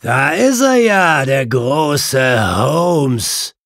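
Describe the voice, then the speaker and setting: Narrator as a loud, high-pitched, sneering condemned murderer. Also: male voice, sing-song, very slow, dry recording, studio quality, exaggerated voice. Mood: jeering, defiant. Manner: loud, jeering, calling out. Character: sneering, frightened, defiant condemned male murderer. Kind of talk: audiobook character voice.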